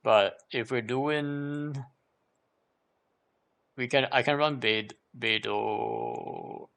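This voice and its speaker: A man's voice: medium pitch, big pitch swings, extremely slow, gravelly, fairly bright tone. A man giving casual live gameplay commentary, thinking aloud as he repeats and questions himself. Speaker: game livestreamer.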